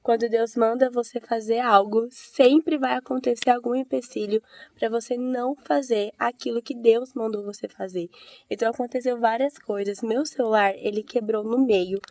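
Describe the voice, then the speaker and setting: A hoarse woman with a Brazilian accent who has a cold, telling her story in an earnest, chatty vlog as a church-minded host. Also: a little high, fairly even intonation, moderate pace, darkish tone. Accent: Brazilian Portuguese. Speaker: evangelical video host. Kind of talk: casual testimony vlog.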